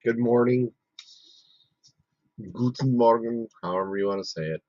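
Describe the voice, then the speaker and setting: A man's voice: medium pitch, normal speed, no echo, dull audio. A man hosting a casual live stream, losing his voice and muttering to himself as he works. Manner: chatty, mutters to himself while working. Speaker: livestream host. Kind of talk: casual live-stream chat while working.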